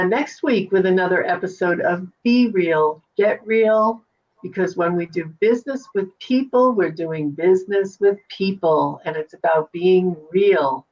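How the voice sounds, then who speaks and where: A woman's voice: fairly low pitch, darkish tone. A woman hosting an interview show, speaking in an encouraging way with effusive thanks. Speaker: host. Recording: distant, dull lo-fi sound.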